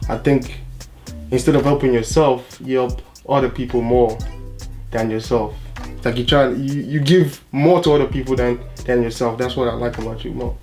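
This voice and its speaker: A young man co-hosting a casual couple's video, soft-spoken and halting, with many pauses, and his voice is going as he gets sick. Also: medium pitch, fairly even intonation, soft background music. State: losing his voice, getting sick. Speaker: vlog co-host.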